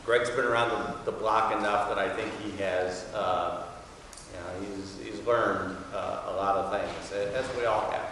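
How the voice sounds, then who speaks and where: A man in his sixties, a magistrate, giving an informal, halting welcome speech from a courtroom podium. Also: on the low side, fairly even intonation, a bit bright. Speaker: magistrate introducing a speaker. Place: wood-paneled courtroom. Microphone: podium mic, standing back from it. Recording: very echoey hall, distant mic, faint noise.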